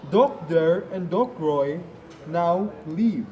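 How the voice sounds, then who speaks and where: A man narrating a school puppet show from a script, reading haltingly with stumbles. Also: on the high side, expressive, mellow, lo-fi sound.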